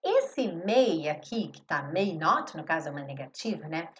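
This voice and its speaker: A woman teaching an English lesson in a live stream, explaining song lyrics and slowing down to read English phrases with emphasis. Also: deep voice, sing-song, a little hiss or hum. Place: home studio, live stream.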